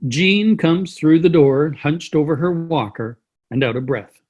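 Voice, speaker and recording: A man with a husky voice narrates a play reading. He reads stage directions in a scripted, descriptive way. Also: on the high side, unhurried, darkish tone. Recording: video call play reading.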